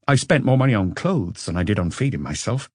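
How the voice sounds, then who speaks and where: A man performs an educated Frenchman in an audiobook, confessing his past in a measured, reflective way. The voice is fairly low and husky, recorded close and dry, and tinged with regret. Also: big pitch swings, darkish tone, no echo. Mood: reflective, candid. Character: educated Frenchman looking back with regret on a passionate marriage. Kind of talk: audiobook character dialogue.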